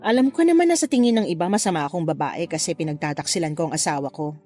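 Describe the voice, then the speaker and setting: A woman playing a flirtatious, unapologetic married mother in a Tagalog radio love drama, with a playful, expressive delivery. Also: low voice, fast talker, soft background music. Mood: candid, defensive. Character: flirtatious married mother having a secret affair, breezily unapologetic.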